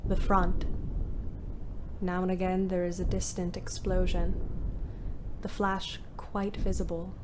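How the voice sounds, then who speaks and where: A woman's voice: fairly low pitch, noisy background. An actress playing a weary, bitter young ambulance driver, narrating her wartime diary expressively in an audio play. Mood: weary, bleak. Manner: measured, literate, expressive. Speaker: narrator and lead character.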